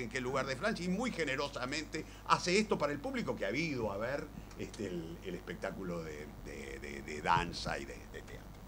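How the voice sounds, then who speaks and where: Male lecturer, Argentine accent, talking informally and haltingly, circling back over his words. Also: fairly high pitch, fairly bright tone, a little hiss or hum. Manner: halting, rambling, with repeated words and pauses.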